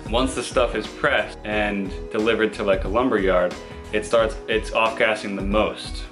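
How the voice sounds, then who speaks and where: A man in his thirties with a raspy, hoarse voice from a cold, casually explaining his bus conversion work to a handheld camera. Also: on the low side, steady tone, normal speed. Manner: easygoing, explains step by step. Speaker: vlogger doing a bus conversion. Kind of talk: casual how-to vlog. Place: inside a gutted school bus. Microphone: camera held at arm's length. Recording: background music under voice.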